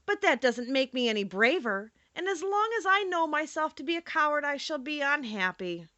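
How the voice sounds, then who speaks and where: A woman's voice: sits high, sing-song, normal speed, pushed and bright, no echo, dull audio, pitched above the performer's own voice, heavily acted. A woman reading aloud and voicing a big male lion who is a sorrowful coward, in a plaintive, self-pitying manner. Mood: mournful. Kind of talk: audiobook character reading.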